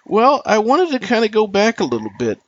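A man's voice: high-pitched, wildly swooping pitch, brisk, dull audio. A man hosting an interview show, presenting his guest and putting questions to him. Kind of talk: scripted introduction and friendly interview questions.

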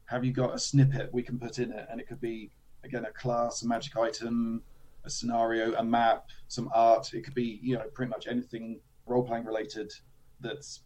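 A man, a slightly hoarse guest chatting casually about tabletop games, with self-deprecating asides. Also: medium pitch, flat delivery, normal speed, a little mellow, low-quality recording. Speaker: guest, tabletop game designer. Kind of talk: casual interview chat.

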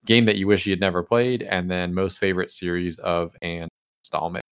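A man hosting a casual gaming podcast, relaxed and rambling, with some teasing jokes. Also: deep voice, brisk, clear, resonant tone, no room sound, clean studio sound. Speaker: podcast host. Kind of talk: casual chat.

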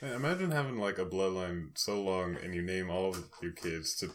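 A man's voice: low-pitched, fairly quick, fairly bright tone, slight background noise. A young man co-hosting a podcast in casual chat, laughing often and filling his talk with "like". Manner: laughs often, lots of filler "like". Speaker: podcast co-host.

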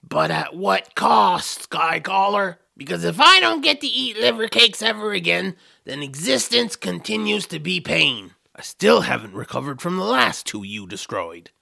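A man's voice: sits high, very animated, normal speed, gravelly, hardly any pauses, pushed and bright, dry recording, heavily acted. A man voicing a grumpy, reclusive troll with an exasperated, grumbling manner in a family audio drama. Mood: weary, complaining. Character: grumpy, reclusive male troll, food-obsessed reluctant hero.

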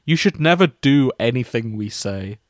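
Male comedy podcast host-narrator, casual and giggly, middle pitch, dry recording. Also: lively intonation, moderate pace, dry recording. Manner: giggly, often breaking up while reading. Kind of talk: comic fan fiction reading with casual banter.

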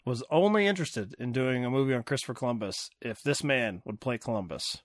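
A male host steering a loose film discussion, with a mid-pitched voice and a wry, rambling delivery. He laughs easily between his thoughts. The sound is dry and close, with no room around it. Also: lively intonation, brisk, no echo. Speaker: podcast host. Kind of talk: casual movie-review chat.

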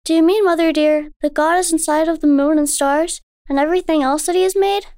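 Storybook little girl, played by a woman: innocent, wide-eyed and questioning, pitched well up, close dry sound. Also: brisk, dry recording, natural voice. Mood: curious. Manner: excited, earnest, questioning. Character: eager wide-eyed young girl, curious, sweet, innocent.

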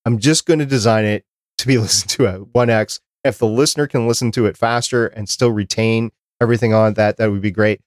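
Low-voiced male podcast host, close and dry. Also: fairly even intonation, fairly quick, dry recording. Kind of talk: casual interview chat.

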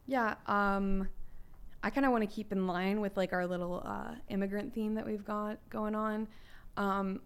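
A woman's voice: medium pitch, steady tone, measured pace, smooth. Chatty young woman co-hosting a podcast. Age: young adult. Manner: chatty, emphatic, youthful slang. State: recovering from flu, voice lower than usual. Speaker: podcast co-host. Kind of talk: casual chat podcast.

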